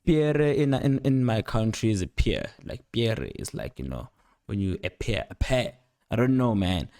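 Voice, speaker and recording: A young man giving a casual, unscripted music production tutorial, rambling playfully in slangy speech with excited interjections. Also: on the low side, unhurried, no room sound, clean studio sound. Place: indoor home studio at a computer. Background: loud instrumental beat and instrument sounds playing.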